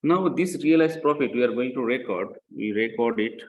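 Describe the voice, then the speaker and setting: A man's voice: mid-range voice, moderate pace, highs cut off, a little hiss or hum. A man lecturing in a didactic way, repeating key points and often checking with short questions. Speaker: accounting lecturer. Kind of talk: classroom lecture, explaining worked example.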